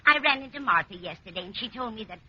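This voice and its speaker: A woman's voice: a little high, lively intonation, fast, bright, forceful tone, muffled recording. An American actress playing a motherly, scatterbrained landlady in a vintage radio comedy, chatty, reassuring and unflustered. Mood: cheerful, soothing. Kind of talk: 1940s-50s radio comedy acting. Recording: noisy, band-limited old radio recording.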